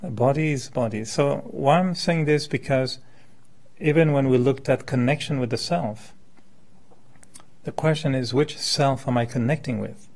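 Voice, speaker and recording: Gravelly man giving a talk. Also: mid-range voice, a little slow, darkish tone. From born in France, settled in Quebec. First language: French. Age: 50s. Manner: conversational, illustrates with hypothetical examples and questions. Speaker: meditation teacher, speaker. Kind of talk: informal spiritual talk to a live group.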